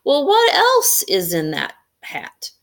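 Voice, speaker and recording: Lady doing a curious excited kid, a boy from a picture book, pitched up high. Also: slow, heavily acted.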